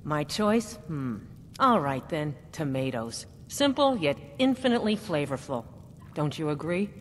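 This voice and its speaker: An eager castle chef, reverberant hall. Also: female voice, sits low, sing-song, unhurried, a little hiss or hum. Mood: deferential, unsure. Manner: hesitant, gushing, formal. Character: eager, fawning female castle chef, nervous and deferential. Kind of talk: fantasy video game dialogue. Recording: hall reverb under game music.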